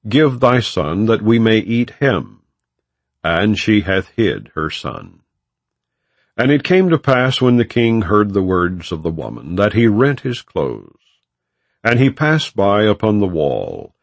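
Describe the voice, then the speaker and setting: A man narrating an audiobook of scripture in a solemn, measured, formal, declamatory way. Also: fairly low pitch, gravelly, dry recording.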